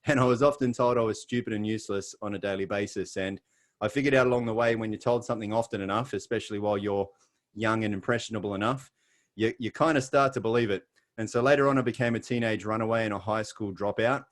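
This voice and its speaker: A man's voice: on the low side, quick pace. A middle-aged Australian man presenting on a video call, chatty and jokey with a self-deprecating streak. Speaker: guest presenter. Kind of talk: webinar presentation.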